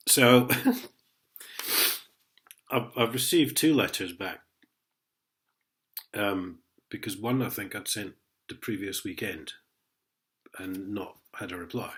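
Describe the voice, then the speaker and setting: A man talks to camera in a sarcastic, chuckling vlog rant. His voice sits fairly low and sounds a little stuffed up from a head cold. He reads out official wording in a mocking tone. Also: takes it slowly, long pauses, fairly bright tone. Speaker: vlogger. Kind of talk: casual ranting vlog.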